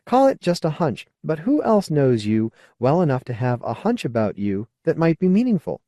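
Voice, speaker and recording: Man voicing a frank, persuasive woman, animated pitch. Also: normal speed, no room sound, studio quality. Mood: coaxing. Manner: earnest, coaxing, plain-spoken. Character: frank, persuasive woman colonist, old friend. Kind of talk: audiobook character dialogue.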